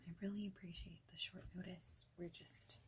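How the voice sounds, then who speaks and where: A woman speaking softly and breathily, often in a whisper, in a gentle, informal ASMR roleplay as a friendly boss. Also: lowish voice, flat delivery, normal speed. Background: clicking of keyboard and small handled objects.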